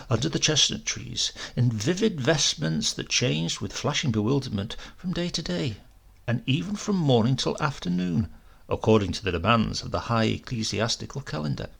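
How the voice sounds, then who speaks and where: A man reading a comic tale aloud as its narrator, in a lively, wry and expressive way. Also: mid-range voice, gravelly, breathy edge. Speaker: reader, narrator. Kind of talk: storytelling audiobook narration.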